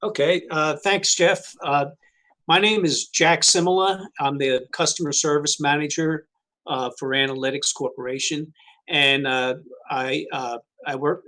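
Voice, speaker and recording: A man's voice: a little high, fairly bright tone, low-quality recording. A man who manages customer service, describing his team's services over a video call with many fillers. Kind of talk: webinar presentation.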